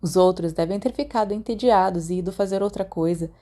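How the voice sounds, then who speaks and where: A woman's voice: medium pitch, a little fast, dark tone. A woman with a Brazilian accent reading a story aloud as a scripted audiobook narration. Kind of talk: scripted fan fiction narration with acted dialogue.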